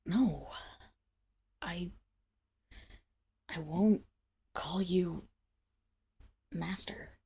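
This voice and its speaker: A woman's voice: fairly low pitch, very slow, creaky, pauses often, dark tone, breathy edge, heavily acted. A woman playing a proud, indignant noble wolf-girl servant in an ASMR roleplay, with haughty, formal diction that falters when she is flustered. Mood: grudging, seething. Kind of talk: ASMR roleplay, dramatic voice acting.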